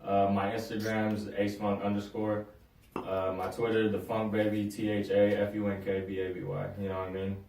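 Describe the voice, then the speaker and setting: Laid-back young man, low flat voice. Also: moderate pace, a little hiss or hum. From San Diego. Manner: laid-back, slangy, lots of uh and um. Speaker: guest DJ. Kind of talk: casual interview. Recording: roomy sound, mic at a distance, faint ambience.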